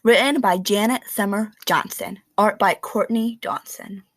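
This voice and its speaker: A woman reading a children's picture book aloud in an expressive, animated storytelling manner with dramatic emphasis. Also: medium pitch, unhurried, no room sound, clean studio sound.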